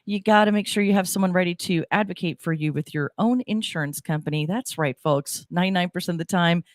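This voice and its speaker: A woman's voice: fairly low pitch, quick pace, no echo. Woman radio host, stuffy nose, chatty, dry close mic. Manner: chatty, upbeat. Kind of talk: community radio talk show.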